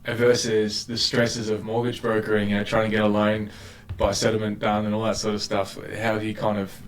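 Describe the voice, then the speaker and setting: A man's voice: fairly low pitch, completely flat delivery, brisk. A man hosting an interview, enthusiastic, often reacting with wow. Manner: enthusiastic, keeps saying wow. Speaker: podcast host. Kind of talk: casual interview chat. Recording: echoey room with faint noise.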